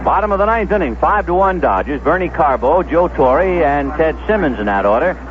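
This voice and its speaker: A man doing 1970s radio baseball play-by-play, measured and descriptive, telling small stories between pitches. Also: animated, background noise. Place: stadium broadcast booth. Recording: dull lo-fi vintage AM radio broadcast.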